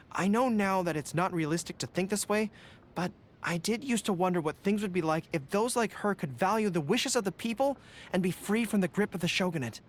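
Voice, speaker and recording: A man's voice: high voice, expressive, normal speed. A man playing an earnest, modest young dog-eared general in a video game, with formal, dutiful phrasing. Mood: reflective. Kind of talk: video game character voice lines. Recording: music behind the voice.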